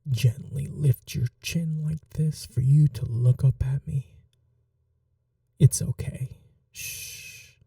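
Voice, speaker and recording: A man playing a possessive, tender yet cruel ageless vampire lover in an intimate ASMR roleplay, soft and breathy, often slipping into whispers, slow and coaxing. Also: a little high, dry recording, studio quality, recorded from a distance, exaggerated voice.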